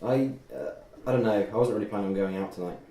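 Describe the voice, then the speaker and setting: A man's voice: lowish voice, quick pace, warm tone, lightly acted. A young man playing a reluctant, dry, easy-going male student in a script read-through. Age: young adult. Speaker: student cast member at a table read. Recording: roomy, distant mic, faint noise.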